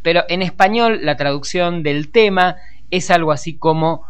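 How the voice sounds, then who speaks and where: Clear, higher-voiced Argentine male radio host. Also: moderate pace. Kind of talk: music show introduction, semi-improvised.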